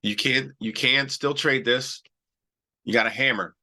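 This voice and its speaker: A man's voice: mid-range voice, steady tone, a little slow, pushed and bright. A man hosting a live stock trading show, talking loosely and chattily through charts while he has a cold. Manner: loose and chatty, many restarts and "all right"s. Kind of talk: casual live-stream chart talk.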